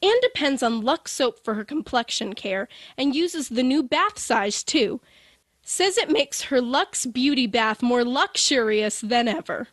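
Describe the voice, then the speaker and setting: A woman's voice: high voice, animated, a little slow, fairly bright tone, no room sound. A woman as a Hollywood reporter in scripted 1950s radio style, polished and gushing.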